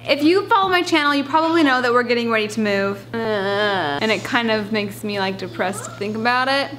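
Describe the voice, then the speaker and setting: Young woman, high voice, casual filler-heavy chat, echoey house, faint noise. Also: a bit bright. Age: young adult. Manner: chatty, wistful, filler-heavy. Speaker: family vlogger. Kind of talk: casual house tour vlog. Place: suburban family house, indoors. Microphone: handheld camera held close.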